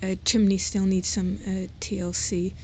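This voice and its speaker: Hesitant woman in an even tone, outdoors. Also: medium pitch, normal speed. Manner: hesitant, informal, thinking aloud while walking. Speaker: host giving a tour of their own garden plot. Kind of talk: casual unscripted walking tour narration. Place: outdoors around a house and garden. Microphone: handheld camera or phone while walking. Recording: noisy outdoor handheld recording, ambient sound.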